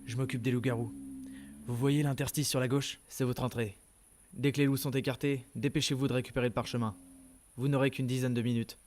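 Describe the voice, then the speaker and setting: A man's voice: mid-range voice, level tone, brisk, darkish tone, natural voice. A man playing a terse, commanding and secretive guide in an amateur audio fiction. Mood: calm, commanding.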